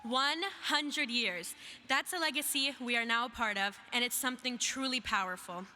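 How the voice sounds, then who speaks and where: A young woman who grew up in Iraq and speaks Arabic natively, giving a measured, emphatic speech as a student speaker at a graduation. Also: on the high side, pushed and bright. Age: young adult. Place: large hall. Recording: reverberant hall with faint noise.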